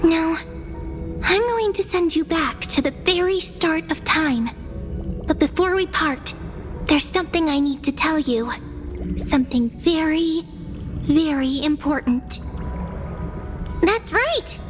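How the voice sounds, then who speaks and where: An actress playing a small, earnest, affectionate companion in a video game, expressive and pausing for weight. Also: female voice, high voice. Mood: relieved, earnest. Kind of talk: video game character dialogue. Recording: loud music behind the voice. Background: game music.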